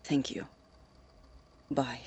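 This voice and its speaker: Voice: drawn-out words, darkish tone. An actor playing an anxious, secretive man in an audio drama, stammering and defensive as he guards his experiments. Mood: subdued, tense. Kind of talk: naturalistic audio drama acting.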